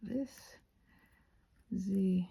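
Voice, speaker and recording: A woman's voice: mid-range voice, extremely slow, gravelly, warm tone, a little hiss or hum. A woman giving a rambling, casual craft tutorial as host, chatty and playful, with scattered, self-deprecating asides.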